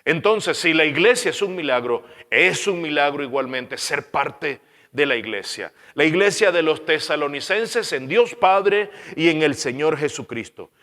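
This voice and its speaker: Earnest Caracas pastor preaching in a hall. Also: male voice, on the high side, animated, unhurried, hardly any pauses. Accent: Venezuelan, Caracas. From Venezuela. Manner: earnest, repeats phrases for emphasis, trails off quietly at ends. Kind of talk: sermon.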